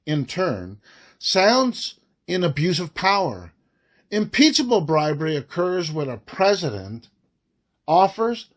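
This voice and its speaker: A man reads a formal report aloud, phrasing it deliberately and emphatically with frequent pauses. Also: fairly high pitch. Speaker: narrator reading a report aloud.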